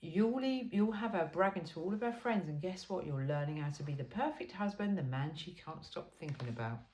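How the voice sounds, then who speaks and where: A woman's voice: on the low side, lively intonation, brisk, darkish tone, poor audio. A woman reading a self-help book aloud in an informal, blunt and emphatic way.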